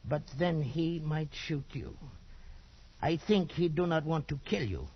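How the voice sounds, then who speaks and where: An actor playing a nervous, slyly dismissive Portuguese trader with a Portuguese accent, a husky gravelly voice and hesitant broken English. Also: male voice, a little high, lively intonation, unhurried, heavily acted. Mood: wry, ominous. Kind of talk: 1940s radio acting. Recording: dull old radio recording.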